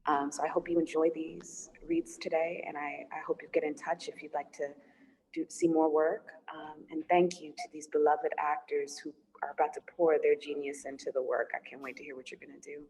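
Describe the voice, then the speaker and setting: Low-pitched woman in echoey room. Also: level tone, fairly quick, a little mellow. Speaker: screenwriter. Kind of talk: informal introductory remarks. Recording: echoey hall sound, faint noise.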